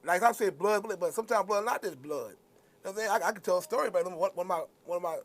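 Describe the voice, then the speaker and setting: A man from New York City with a high-pitched voice chats as a co-host. He talks quickly and casually, in a streetwise way, often circling back over his own phrases. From New York City, born in Brooklyn, moved to Queens. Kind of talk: casual chat.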